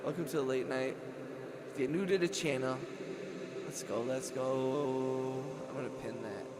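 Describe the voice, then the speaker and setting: A man's voice: medium pitch, moderate pace, heavy background noise. A man hosting a late-night music production livestream, chatting casually and rambling as he thinks aloud and second-guesses his choices. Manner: rambling, thinks aloud, often questions himself; relaxed, friendly shout-outs to the chat. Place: home studio.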